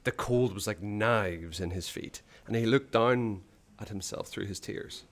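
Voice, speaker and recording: A man reading a children's adventure story aloud in a dramatic, suspenseful way, with hushed phrase endings. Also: fairly low pitch, a little slow. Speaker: storybook reader.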